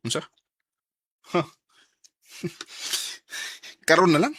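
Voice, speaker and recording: A man's voice: a little high, very slow, bright, forceful tone, no room sound, studio quality, exaggerated voice. A man acting an earnest, determined young student who carries some resentment, in a radio drama with a Filipino Bisaya accent. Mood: guarded, resentful.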